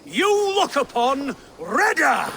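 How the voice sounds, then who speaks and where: Showy game merchant sidekick, slow grand pitch, swoops all over, bit of noise. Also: male voice, huge pitch swings, vocal fry, bright, forceful tone, exaggerated voice. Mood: grandly boastful. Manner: grandiose, salesman-like proclaiming. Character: fawning, theatrical merchant's herald and apprentice, a man. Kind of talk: video game character acting.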